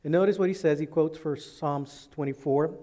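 A man preaching a sermon as pastor, working through scripture and acting out quoted speech in lively voices. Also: fairly high pitch, normal speed. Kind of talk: expository sermon. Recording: long reverb in a large hall.

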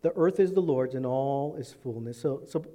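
A man preaching a sermon as pastor, working through scripture and acting out quoted speech in lively voices. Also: mid-range voice, moderate pace. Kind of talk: expository sermon. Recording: long reverb in a large hall.

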